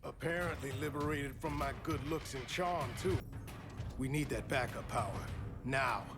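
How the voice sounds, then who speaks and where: An actor playing a wry, defiant cyborg hero in a video game, casual and confident with a sardonic edge. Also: male voice, mid-range voice, moderate pace, lightly acted. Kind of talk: video game cutscene acting. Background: music.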